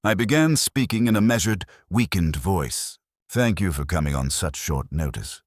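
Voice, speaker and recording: A man with a very deep voice narrates a scripted drama in a measured, solemn way. His pitch moves expressively from phrase to phrase, and the sound is dry and close, free of room reflections. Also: expressive, no room sound.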